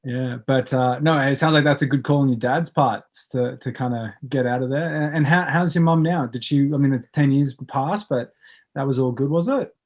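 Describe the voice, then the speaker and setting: A man hosting a casual interview, encouraging the guest with relaxed, informal replies. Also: mid-range voice, normal speed. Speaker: podcast host.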